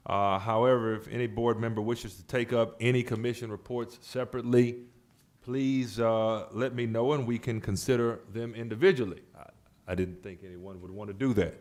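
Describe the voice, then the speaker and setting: A man presiding as chair over a public board meeting, speaking in a halting way with repeated words and restarts. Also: medium pitch, steady tone, darkish tone. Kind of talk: formal but informal-toned meeting remarks.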